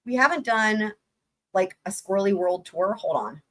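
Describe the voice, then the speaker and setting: A woman hosting a livestream in casual chat, rambling with many fillers and greeting viewers by name with upbeat shout-outs. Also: mid-range voice, expressive, moderate pace. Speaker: livestream host.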